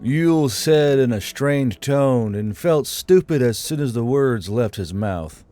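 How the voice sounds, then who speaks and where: Male narrator for serialized fiction, dark relaxed tone, mid-range pitch. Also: a little slow, soft background music. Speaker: narrator and channel host. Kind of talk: scripted story narration.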